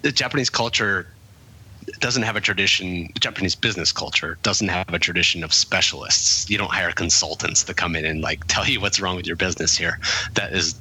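Very deep-voiced man telling work stories. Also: fairly quick, sharp tone, breathy edge, low-quality recording. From English-speaking country. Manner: reflective storyteller, many fillers and restarts. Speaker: guest, author. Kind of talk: conversational interview.

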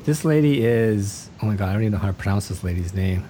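Woman host, chatty and playful, casual interview, a bit of rumble behind. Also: expressive, quick pace, warm tone. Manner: chatty, asks playful questions.

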